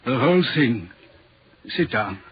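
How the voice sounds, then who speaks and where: An actor playing a troubled, fearful railway signalman in an intense, halting 1940s radio drama style. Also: mid-range voice, very animated. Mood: grave, uneasy. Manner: intense, halting, dramatic storytelling with cried-out calls. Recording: muffled, scratchy 1940s radio recording.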